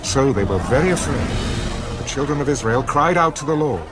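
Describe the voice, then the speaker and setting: Male narrator, solemn, measured storytelling, medium pitch, audio Bible, loud music bed. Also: expressive.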